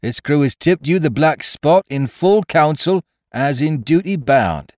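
A man puts on a mutinous pirate crewman for an adventure storybook. He is blunt, belligerent and accusing, his rustic sailor speech pushed up and loud with lively swings. The recording is dry. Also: fairly high pitch, measured pace, no room sound, pitched above the performer's own voice. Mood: demanding. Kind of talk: dramatised audiobook reading of a classic adventure story.

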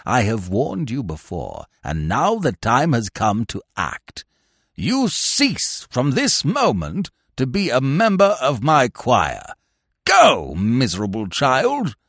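A man voicing a stern, pompous elderly vicar who thunders his rebukes slowly. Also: mid-range voice, huge pitch swings, fairly bright tone, husky, no room sound, studio quality, pitched below the performer's own voice, full character voice. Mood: condemning. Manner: slow, thundering condemnation. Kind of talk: audiobook character voice.

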